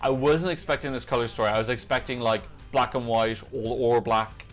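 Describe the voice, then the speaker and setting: A man with a medium-pitched voice hosts a casual music reaction. He talks off the cuff, chatty and opinionated, working out his thoughts aloud. Music plays behind his commentary. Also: steady tone, fairly quick. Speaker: host of a music reaction show. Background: songs from music videos being reviewed.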